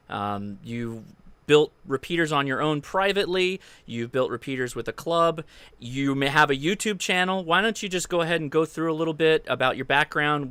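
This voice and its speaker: A man's voice: mid-range voice, a bit bright, smooth, no room sound. A middle-aged man hosting a casual livestream interview, speaking close to the mic at a desk indoors. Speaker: host of a ham radio livestream. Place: indoors at a desk, live stream.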